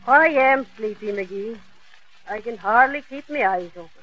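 An actress playing a sleepy, patient wife in 1940s radio comedy style. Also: female voice, on the high side, lively intonation, moderate pace, a bit bright, highs cut off. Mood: drowsy, gentle.

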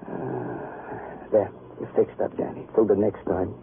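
A man's voice: on the low side, steady tone, takes it slowly, creaky. An actor playing a world-weary, fatherly police doctor with a sardonic, theatrical, sighing manner in a 1950s radio drama. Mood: weary, concerned. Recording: narrow, hissy vintage radio recording.

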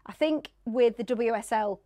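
A woman's voice: a little high, normal speed, dark tone. A woman with a Northern English accent, a sports journalist chatting casually and self-deprecatingly in an interview, often laughing.

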